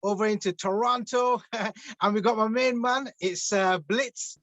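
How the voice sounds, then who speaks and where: A man's voice: high-pitched, measured pace, fairly bright tone, no echo. A man from England hosting a radio interview, casual and hesitant with lots of ums and restarts. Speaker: radio host.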